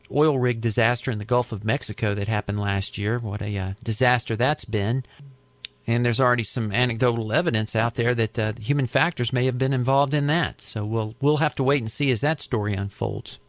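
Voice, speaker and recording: A middle-aged man with an American accent, telling his experiences in a conversational interview in a reflective, explanatory way with halting phrasing. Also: mid-range voice, steady tone, no echo. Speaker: interview guest, aviation safety advocate.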